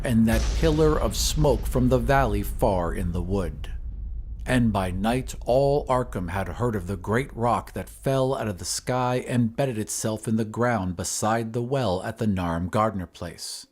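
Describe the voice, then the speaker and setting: A man reading a horror story as scripted dramatic narration, in a measured, literary storytelling manner. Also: lowish voice, a little mellow. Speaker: narrator of an audio drama podcast. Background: ambient sound effects.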